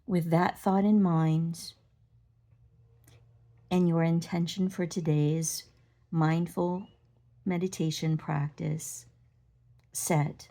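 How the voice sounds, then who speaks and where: A woman leading a guided meditation, speaking gently and deliberately in short phrases with pauses between them. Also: on the low side, steady tone. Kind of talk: guided body scan meditation.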